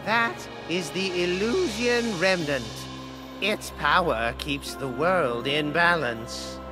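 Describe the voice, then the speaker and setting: High-voiced young guide, unhurried. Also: male voice, animated. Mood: earnest, solemn. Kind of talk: video game cutscene exposition. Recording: loud music behind the voice.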